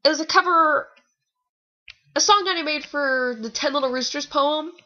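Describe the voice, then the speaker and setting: A young woman with a high, bright voice on a slightly dull home recording. She reads fan fiction casually and comments in a snarky, rambling, informal way. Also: moderate pace. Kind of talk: casual read-aloud with sarcastic commentary.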